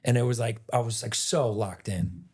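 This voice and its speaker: Raspy-voiced male actor, chatty guest spinning a story, loose and animated. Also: mid-range voice, normal speed. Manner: animated, rambling retelling with many "like"s. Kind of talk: casual storytelling interview.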